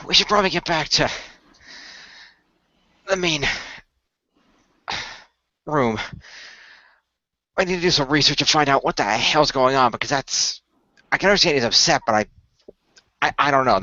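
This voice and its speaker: A man playing a pragmatic, weary team boss in an amateur radio play, speaking in a measured, matter-of-fact way. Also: medium pitch, sharp tone, no echo, highs cut off. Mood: concerned.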